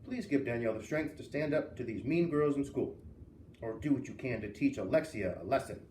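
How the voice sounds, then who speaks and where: A man's voice: medium pitch, lively intonation, fairly quick, a little mellow, close to an everyday voice. A man reading the part of a tough, protective old farm grandmother in a storybook, blunt and indignant. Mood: praying bluntly. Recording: roomy, distant mic with faint hiss.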